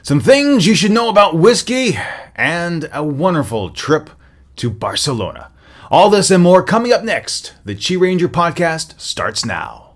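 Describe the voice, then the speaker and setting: A man's voice: a little high, sing-song, measured pace. A man hosting a news podcast from his office, sounding a little nasal from a slight cold and delivering the news in an upbeat way with frequent "uh" fillers. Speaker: podcast host.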